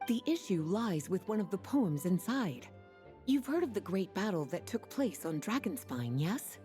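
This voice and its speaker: An actress playing a poised librarian in a fantasy game, with a mellow, relaxed tone and a lively, lilting delivery. She sounds knowing and gently teasing. Soft music plays under her. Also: medium pitch, moderate pace, lightly acted. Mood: explanatory. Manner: languid, wry, gently teasing. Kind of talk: game voice acting.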